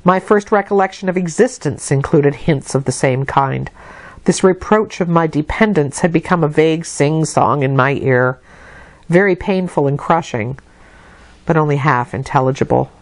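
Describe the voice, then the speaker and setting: A woman storyteller reading a classic novel aloud in an expressive literary narration. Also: sits low, normal speed, dry recording.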